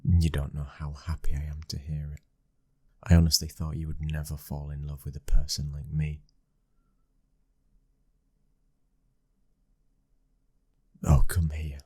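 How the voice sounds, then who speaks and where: A British man playing a protective, devoted young mafia heir and lover in an intimate ASMR roleplay, speaking softly and tenderly, often near a whisper. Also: extremely low pitch, monotone, normal speed, breathy edge, no room sound. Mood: moved, tender.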